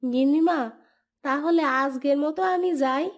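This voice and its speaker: A woman's voice: high voice, unhurried, pitched above the performer's own voice. A woman voicing a humble village maidservant in a cartoon, speaking respectfully and eager to please. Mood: deferential, earnest. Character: humble, deferential village maidservant, devoted mother. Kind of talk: Bengali cartoon voice acting.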